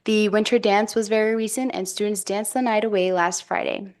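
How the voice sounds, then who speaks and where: A woman's voice: medium pitch, steady tone, a little fast. A woman reading a prepared report of school events and sports news at a school board meeting.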